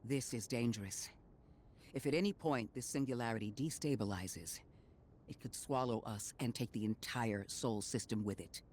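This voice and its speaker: A calm, analytical robot companion voiced by a man, clipped and exact in his phrasing as he guides the player through a game. His pitch sits in an ordinary middle range. Faint ambient game sound hums behind him. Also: a little hiss or hum, close to an everyday voice. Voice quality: measured, clipped and precise. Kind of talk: video game voice acting.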